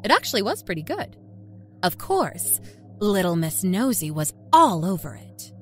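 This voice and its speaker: Animated woman narrator of dark fiction. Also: mid-range voice, measured pace, soft background music. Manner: expressive, storytelling. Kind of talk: dramatic first-person scripted horror narration. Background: musical score.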